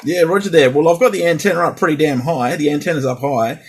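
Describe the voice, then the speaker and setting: A man's voice: on the high side, fast, slightly rough. A man in a casual radio chat as a CB operator, speaking hesitantly and repeating himself. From Australia.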